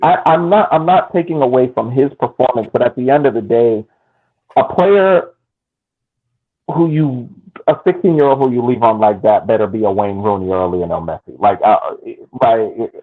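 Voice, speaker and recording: A man's voice: mid-range voice, moderate pace. A man argues his case emphatically on a sports podcast. His voice comes through a thin, phone-like line. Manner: emphatic, argumentative. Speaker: podcast co-host. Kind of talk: casual chat.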